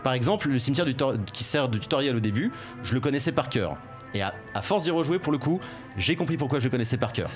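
Man, casual reviewer on a studio panel, rapid and rambling, middle pitch, faint music under the voice. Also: lively intonation. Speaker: game reviewer. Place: studio with headsets. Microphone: studio mic.

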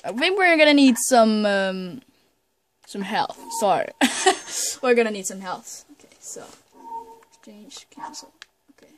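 A woman's voice: medium pitch, very animated, measured pace, long pauses, slight background noise. A woman giving hesitant, chatty commentary during a blind video game playthrough, reading menus aloud. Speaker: gamer doing a blind playthrough. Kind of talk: casual let's play commentary. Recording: commentary over loud game music.

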